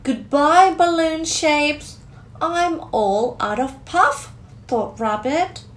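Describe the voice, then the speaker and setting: A woman in her thirties reading a picture book aloud to children, expressive and deliberate, drawing out key words in a small room. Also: high-pitched. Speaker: storyteller, teacher reading to children. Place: small curtained room indoors.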